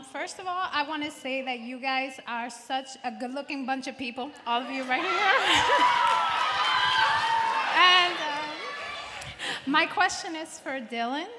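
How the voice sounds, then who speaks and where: A woman in an audience, excited and flustered as she asks a question. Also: high voice. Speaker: audience member asking a question. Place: large auditorium. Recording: long hall reverb, faint noise.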